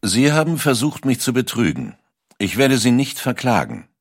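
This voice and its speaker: A man's voice: medium pitch, sing-song, normal speed, husky, dry recording, clean studio sound, voice pushed up. A man voicing a ruthless, vengeful American railroad tycoon in a menacing, emphatic documentary quotation. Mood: cold threat.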